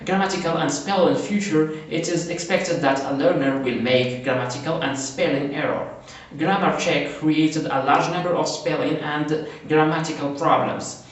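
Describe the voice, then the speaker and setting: A man's voice: on the high side, fairly even intonation. Guy reading his slides, lots of uh's and restarts, mic far off. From Morocco. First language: Arabic. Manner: halting, frequent "uh" fillers and self-corrections. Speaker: conference presenter. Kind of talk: reading a prepared research presentation. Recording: very echoey, distant mic.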